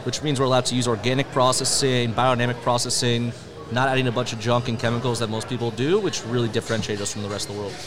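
A man's voice: medium pitch, flat delivery, quick pace. A man from a spirits brand speaks fluently and promotionally about the product. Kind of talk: polished pitch talk. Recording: outdoor crowd noise.

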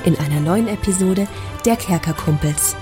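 Show intro: woman, lower register, scripted announcer delivery, clear music bed underneath. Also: normal speed. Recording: jingle music behind voice.

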